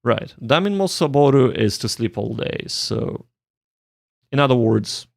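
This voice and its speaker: Gravelly man streamer with lively pitch. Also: a little slow, dry recording, clean studio sound. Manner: hesitant, thinking aloud. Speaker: streamer, language learner. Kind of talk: casual live study-stream commentary, translating aloud.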